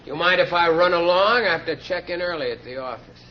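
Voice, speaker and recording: An actor playing a nervy, eager newspaper crime writer in a 1940s radio mystery, defensive and hesitant. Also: male voice, a little high, brisk, natural voice. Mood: deferential. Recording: dull, hissy old radio recording.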